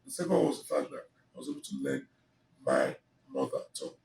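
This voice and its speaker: A man's voice: mid-range voice, wildly swooping pitch, fast talker, creaky, a little mellow, a little hiss or hum. A middle-aged man with a Nigerian English accent, telling his life story in an emphatic, expansive way with many fillers, interviewed on a sofa with a handheld mic. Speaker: interview guest. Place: living room on a sofa, indoors. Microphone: handheld mic held by interviewer. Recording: roomy, slightly distant mic.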